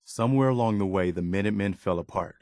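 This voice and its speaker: An actor playing an earnest, steadfast but weary militia officer in a video game, sincere and plainspoken. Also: male voice, on the low side, quick pace, a little mellow, dry recording, clean studio sound, close to an everyday voice. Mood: earnest, steady. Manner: sincere, plainspoken, morally upright. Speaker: game companion character.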